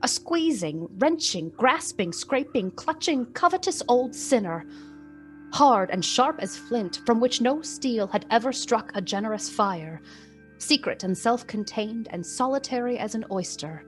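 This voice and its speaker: A woman narrator reading a classic tale for a radio play in an expressive, deliberate, theatrical storytelling style. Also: a little high, soft background music.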